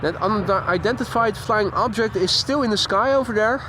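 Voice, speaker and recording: A man's voice: sits high, normal speed. A man hosting a casual live stream outdoors, chatting with viewers and greeting them by name. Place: outdoors near an airport. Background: faint outdoor traffic and voices.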